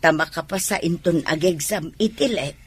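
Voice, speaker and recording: A woman with an Ilocano Filipino accent and a raspy, husky voice playing a hopeful wife and proud mother in an audio drama. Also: fairly low pitch, normal speed. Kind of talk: Ilocano radio-style drama acting.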